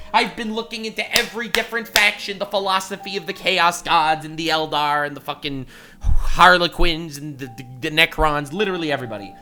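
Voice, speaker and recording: A young man with a bright voice reacts with big swings in pitch. He shouts, swears and drops to a mutter. Faint music plays behind him. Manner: animated, swings from shouting to mumbling, swears a lot. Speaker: streamer reacting to a video. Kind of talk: loud, excitable reaction commentary.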